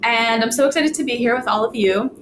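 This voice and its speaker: A woman's voice: fairly high pitch, fast, roomy sound, noisy background. A woman co-hosting a podcast, enthusiastic and encouraging as she introduces the teen hosts. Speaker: podcast staff co-host.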